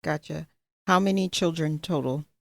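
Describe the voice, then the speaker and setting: A woman's voice: deep voice, moderate pace, creaky, no room sound, studio quality. A woman hosting an interview, asking direct, probing follow-up questions. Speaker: podcast host.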